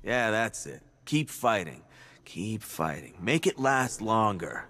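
An actor playing a sadistic, taunting militia thug tormenting a captive in a video game. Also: male voice, on the low side, sing-song, slow, fairly bright tone, exaggerated voice. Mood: gloating menace. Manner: taunting, menacing.

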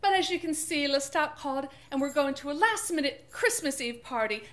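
A woman's voice: sits high, lively intonation, brisk. A woman playing a flamboyant, nervous party-going friend in a scripted comic skit. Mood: chirpy, nervous. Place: decorated indoor library set.

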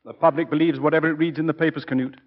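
An actor playing a brisk, serious colleague of a football coach, urgent and declarative in 1940s radio style. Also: male voice, on the high side, steady tone, natural voice. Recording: muffled 1940s radio broadcast recording.

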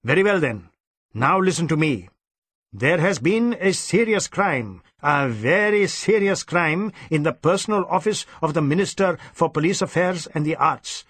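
An irascible Indian police chief, acted out by a male audiobook reader, cold and overbearing. His voice rides high and swings widely as he barks and repeats himself. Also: animated, normal speed, a bit bright, breathy edge, no room sound. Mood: stern, commanding. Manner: snapping, barking, repeats himself impatiently. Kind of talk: audiobook character acting.

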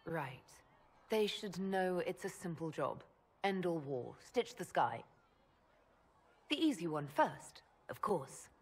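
An actress playing a composed elf woman leader in a video game, speaking in a measured, direct and dryly wry way. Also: fairly low pitch, sing-song, big-room sound, heavily acted. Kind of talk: video game character acting.

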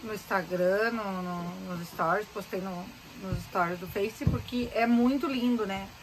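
A woman's voice: mid-range voice, a little slow, a little hiss or hum, low-quality recording. A woman, chatting to camera in a boat cabin. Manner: chatty, animated, gestures while talking. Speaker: vlogger. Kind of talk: casual chat to camera. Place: small cabin of a sailboat, indoors. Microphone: handheld phone camera at arm's length.

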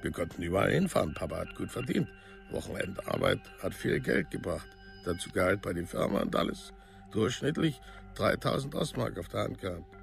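Gravelly, worn-down village parent. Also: male voice, low-pitched, very animated, faint music behind, heavily acted. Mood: nostalgic. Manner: colloquial, clipped, halting sentences. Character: parent looking back on family hardship, plain-spoken, worn down. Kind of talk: documentary radio drama monologue.

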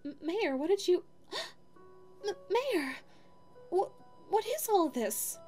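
A woman's voice: very high voice, sing-song, takes it slowly, dark tone, faint music behind, exaggerated voice. A woman voicing a sweet, flustered, lovestruck young female dog secretary in an ASMR audio roleplay, stammering and self-effacing. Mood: flustered, astonished. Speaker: voice actor.

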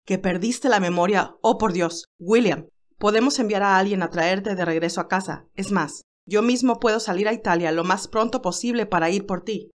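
A woman's voice: medium pitch, normal speed, natural voice. A woman voicing a loyal, anxious male assistant in an audiobook, formal and deferential. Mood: anxious concern.

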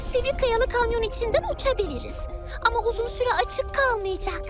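A woman's voice: extremely high pitch, brisk. A woman voicing a brave, cheerful, caring young girl hero in a children's cartoon dub, with an eager, take-charge delivery. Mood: urgent, determined. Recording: music behind.

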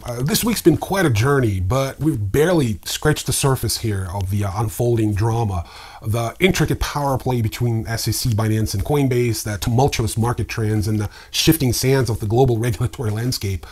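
A man in his fifties with a husky voice, hosting a news commentary to camera with dry, sardonic humour. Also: medium pitch, expressive, fairly quick, a little mellow. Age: 50s. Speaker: host of a crypto newsreel. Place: small indoor study with bookshelves.

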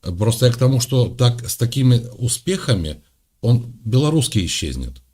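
A man arguing earnestly in a casual debate, pausing often with hesitant fillers. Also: medium pitch, very animated, mellow. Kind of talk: casual argument.

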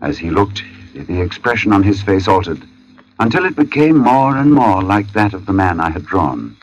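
An actor playing an uneasy artist who narrates an eerie tale in a brooding, deliberate 1940s radio suspense style. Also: male voice, low-pitched, very animated, dull audio. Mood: grave, uneasy. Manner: brooding, deliberate, halting when shaken. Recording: old radio recording with music behind.

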